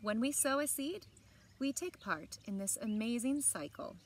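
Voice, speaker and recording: A woman in her thirties reading aloud to children in an expressive storytelling style, with short asides. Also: fairly high pitch, a little slow, a little hiss or hum. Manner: expressive, clearly paced reading to young listeners. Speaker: library story time reader. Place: outdoors on a lawn beside evergreen trees. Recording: outdoor ambience with birdsong. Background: birds and outdoor ambience.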